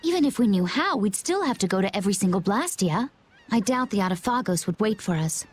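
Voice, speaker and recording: A woman's voice: medium pitch, expressive, a little fast, husky. A woman voicing a composed, courteous young adventurer in a video game. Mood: calm, composed. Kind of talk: English video game voice acting.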